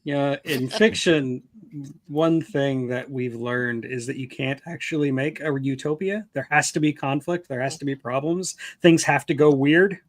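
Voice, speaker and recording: A man's voice: medium pitch, unhurried, no room sound. A man talking in a casual group chat, thinking aloud earnestly with lots of "like" fillers. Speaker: author and stream regular.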